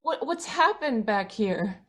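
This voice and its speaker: A woman playing a frightened, bitter middle-aged wife in an old-time radio drama, intense and pleading, with a husky voice. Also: a little high, very animated, takes it slowly, heavily acted. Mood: alarmed. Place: indoors, live video call performance.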